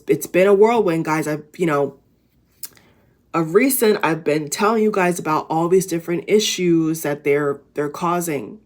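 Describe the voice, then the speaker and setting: A tired woman with a raspy voice, talking casually and at length to her viewers in a rambling inspirational vlog full of fillers and restarts. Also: low-pitched. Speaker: vlogger. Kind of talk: casual inspirational talk to camera.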